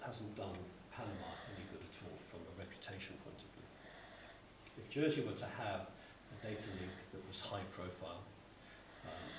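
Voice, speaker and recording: A man moderating a panel discussion, halting with many pauses and restarts. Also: lowish voice. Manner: hesitant, many ums and restarts. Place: conference hall at a tech fair. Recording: echoey hall from far off, noisy.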